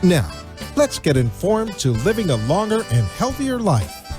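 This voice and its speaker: A man's voice: high voice, big pitch swings, a little slow. A man reading a scripted sponsor announcement as a show's announcer. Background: music.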